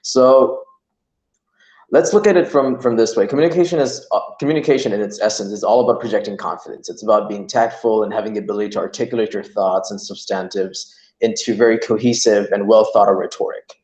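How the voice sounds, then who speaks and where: A man's voice: fairly low pitch, a little fast, darkish tone, reverberant, low-quality recording. A young man from Iran giving an online training talk, chatty and full of "you know" and "like". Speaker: workshop presenter. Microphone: video call.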